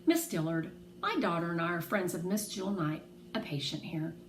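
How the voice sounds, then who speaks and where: A woman voicing a firm, protective, no-nonsense mother in a children's storybook, brisk and polite. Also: on the low side, sing-song. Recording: roomy echo, faint background noise.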